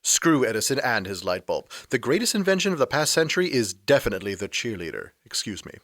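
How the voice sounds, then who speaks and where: A man's voice: mid-range voice, very animated, fast talker, fairly bright tone, dry recording, studio quality. Sardonic, swooping immortal rogue played by a man. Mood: smug, flirtatious. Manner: sardonic, flirtatious, theatrically indignant. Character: snarky, womanizing, bloodthirsty centuries-old male supernatural being. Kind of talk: audio drama acting.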